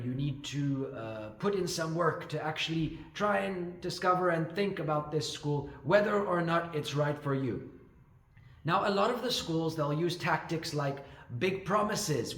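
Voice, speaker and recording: Man, higher-pitched voice, casual advice, rambling with fillers, echoey room. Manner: rambling, with frequent fillers and restarts. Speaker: ESL teacher and channel host. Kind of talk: casual advice talk to camera.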